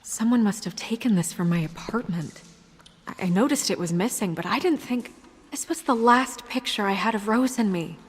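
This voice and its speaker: A serious, sharp-minded woman in a video game. Her voice sits at a medium pitch with a husky grain, her phrasing is measured and no-nonsense, and a long reverb trails it. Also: expressive. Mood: shaken, subdued.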